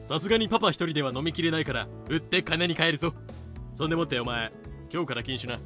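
A man's voice: on the high side, quick pace, over music, lightly acted. A man playing a theatrical, volatile middle-aged father and company boss in a comic anime-style voice drama. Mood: stern.

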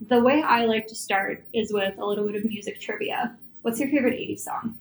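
A woman's voice: on the high side, a little fast, a little hiss or hum, low-quality recording. A woman hosting an interview podcast, friendly and affirming with her guest. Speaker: podcast host.

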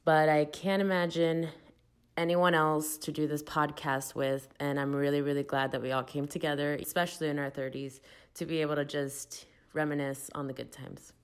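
A woman in her thirties from Miami, co-hosting a casual podcast chat with old friends in a relaxed, reminiscing way. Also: low voice, moderate pace, clear, resonant tone. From Miami, United States. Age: 30s. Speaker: podcast co-host.

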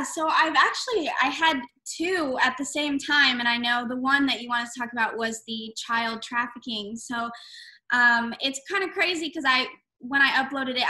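A woman's voice: fairly high pitch, sharp tone, poor audio. A woman in her twenties, a singer-songwriter guest, chatting eagerly with fillers and restarts. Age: 20s. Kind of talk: casual interview.

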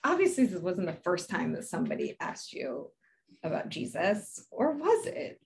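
A woman's voice: fairly low pitch, huge pitch swings, moderate pace, gravelly, darkish tone. A woman hosting a casual interview, listening attentively and chiming in with short affirming replies. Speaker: interviewer, host.

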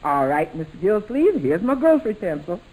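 An actress playing a loyal, stubborn, folksy household cook in 1940s radio comedy. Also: female voice, medium pitch, very animated, a little fast, warm tone. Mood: obliging. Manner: folksy, dropped grammar. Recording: noisy old-time radio recording.

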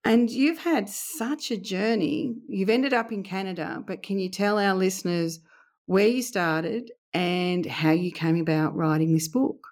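A woman hosting a podcast interview, asking gentle questions and adding short acknowledgements. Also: mid-range voice, measured pace. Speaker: podcast host.

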